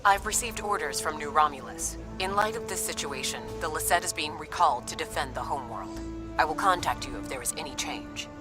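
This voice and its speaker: Woman doing brisk military space officer in a game, really low voice, clipped, loud music. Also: lightly acted. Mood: businesslike. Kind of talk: video game voice acting.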